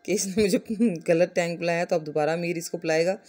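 Pakistani woman narrating, flat even pitch. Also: brisk. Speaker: family vlogger narrating her day. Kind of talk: casual vlog voice-over.

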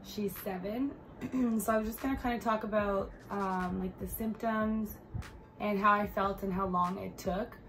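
A woman in her thirties vlogging in casual chat to a phone camera in a bright living room, sounding stuffy and congested and rambling with many fillers. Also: mid-range voice, steady tone, a little slow, slight background noise, poor audio.

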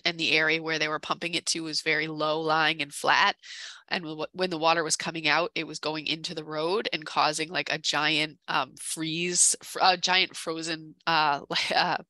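Low, bright-voiced woman explaining haltingly. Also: dry recording. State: voice starting to give out. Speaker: town conservation staffer presenting a case. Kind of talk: informal meeting presentation. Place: indoor room, on video call. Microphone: headset on video call.

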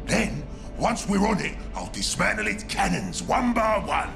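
A man's voice: high voice, huge pitch swings, unhurried, gravelly, full character voice. A man voicing a brutish, bossy ork warboss in a video game, barking orders in crude slang. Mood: fired-up, bellowing. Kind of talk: video game villain acting. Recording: voice under loud game music.

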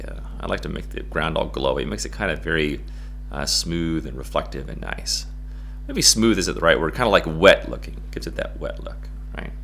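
A middle-aged American man giving a casual, playful software tutorial in a goofy, jokey way. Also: on the low side. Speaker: photo-editing tutorial presenter.

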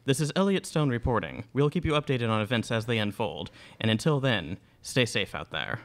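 A young man playing an earnest news reporter in a student radio play, reading formally and gravely. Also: mid-range voice, animated, brisk, natural voice. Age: young adult. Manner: formal, grave, read from script. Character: earnest male TV news reporter giving an emergency bulletin. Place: indoor studio stage. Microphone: microphone on boom stand.

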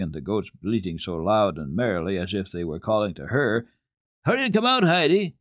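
A man giving an expressive, dramatic reading of classic children's literature as a radio storyteller, with deliberate phrasing. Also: low voice, no echo, studio quality.

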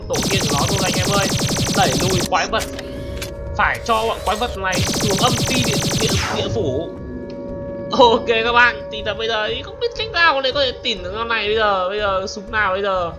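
High-pitched man doing gameplay commentary. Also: fast talker, over loud music. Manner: exclamatory, repeats words, slangy. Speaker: gaming YouTuber, host. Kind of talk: excited casual gameplay commentary.

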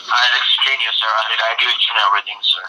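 A man's voice: lowish voice, steady tone, quick pace, sharp tone. A man speaking on the phone, formal and insistent like an official reading from a script. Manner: insistent, formulaic, repeatedly says sir. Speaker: phone scam caller posing as tax agent. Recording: noisy telephone line.

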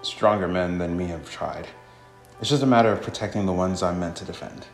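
A man's voice: sits low, fairly quick, reverberant, faint music behind. A man voicing a brooding male protector in a visual novel game, grave and formal. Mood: somber.

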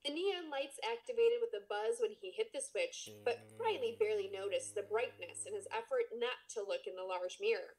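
A woman's voice: on the high side, moderate pace, few pauses, a little mellow, low-quality recording. A woman podcast co-host reading a book aloud and chatting casually, with playful, mock-dramatic asides.

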